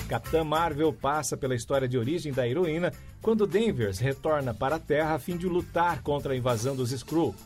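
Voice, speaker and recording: A male radio announcer with a Brazilian accent reads scripted copy. His voice sits somewhat high, over a music bed. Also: a little mellow.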